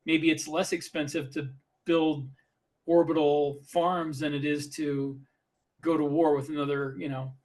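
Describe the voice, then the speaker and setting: Higher-pitched man arguing points in casual discussion. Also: flat delivery, a little slow, a little mellow. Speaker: podcast co-host and author.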